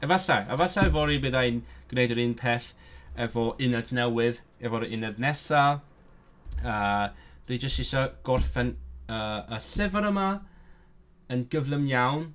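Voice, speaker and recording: A man's voice: medium pitch, unhurried, a bit bright. A man whose first language is Cantonese, chatting casually to viewers on a live stream, nerdy and eager, often tripping over words and starting them again.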